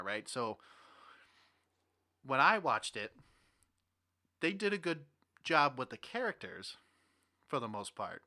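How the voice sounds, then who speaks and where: A young man hosting a podcast with casual, animated, rambling banter about movies and games. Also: fairly low pitch. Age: young adult. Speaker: podcast host.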